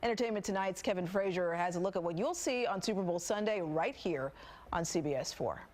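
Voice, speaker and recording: A woman's voice: fairly low pitch, lively intonation, fairly quick, darkish tone. A woman with an American accent reading the news as a TV anchor. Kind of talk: scripted news reading with light co-anchor banter.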